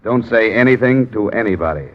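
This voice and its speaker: An actor playing a cool, persistent insurance investigator with an American accent, probing and laconic in an old radio detective drama. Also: male voice, lowish voice, animated, a little fast, loud music behind the voice, close to an everyday voice. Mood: cool, businesslike. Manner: probing, laconic, matter-of-fact. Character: cool, persistent male insurance investigator, narrating his case. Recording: muffled old radio recording.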